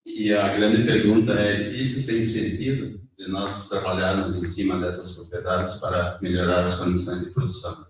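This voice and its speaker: A lower male voice gives a consecutive translation, often restarting a phrase to get it right. He sounds distant from the microphone, and the room behind him is very echoey. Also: flat delivery, moderate pace, few pauses. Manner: rephrases as he goes, with fillers and restarts. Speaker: interpreter. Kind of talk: consecutive interpreting. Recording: echoey hall, voice from far off.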